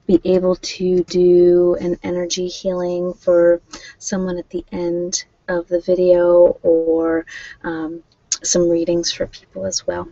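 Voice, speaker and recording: A woman's voice: on the low side, gravelly, warm tone, dry recording, highs cut off. A woman hosting an ASMR relaxation stream, chatting informally and a little hesitantly straight to her viewers. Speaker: host of a guided relaxation and meditation channel. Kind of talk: casual ASMR livestream chat.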